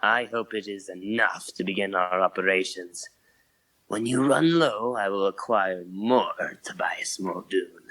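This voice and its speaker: A man's voice: low voice, a little slow, a bit bright, poor audio. A man voicing a cold, scheming robot villain commander with a formal, imperious delivery in a comic dub.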